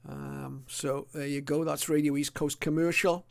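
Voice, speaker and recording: A middle-aged or older man presenting a casual illustrated hobby talk in a hesitant, unscripted way with frequent pauses. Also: fairly high pitch, little pitch movement, gravelly.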